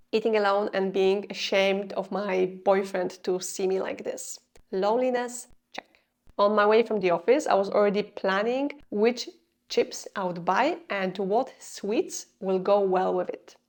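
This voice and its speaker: A woman's voice: mid-range voice, a little mellow. A young woman with a Polish accent speaking English as a second language, giving an earnest, confessional vlog talk. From Poland. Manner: earnest, confessional, slightly non-native grammar. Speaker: video creator. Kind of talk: scripted explanatory vlog.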